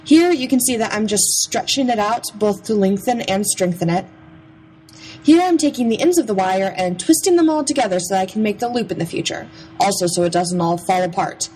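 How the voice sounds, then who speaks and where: A woman's voice: mid-range voice, animated, fairly quick, darkish tone, a little hiss or hum, low-quality recording. A young adult woman giving a casual, chatty, playful voice-over about a craft process. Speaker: craft vlogger.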